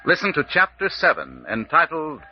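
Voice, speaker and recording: A man's voice: a little high, huge pitch swings, moderate pace, faint music behind. A man acting as an ominous announcer for an old radio serial, speaking with theatrical portent. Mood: ominous, portentous. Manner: portentous, theatrical rhetorical questioning. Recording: dull old radio recording.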